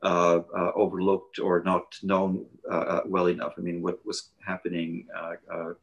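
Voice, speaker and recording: A man, a guest architect speaking haltingly over a video call from a living room. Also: deep voice, completely flat delivery, lo-fi sound. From Switzerland. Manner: halting, many hesitations and restarts. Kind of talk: panel conversation.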